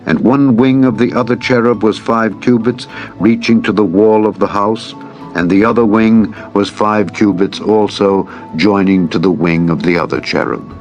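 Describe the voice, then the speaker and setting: A man reading scripture as a scripted audiobook narration, in a measured, solemn manner. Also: lowish voice, fairly even intonation, a little mellow, rough recording. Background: music bed.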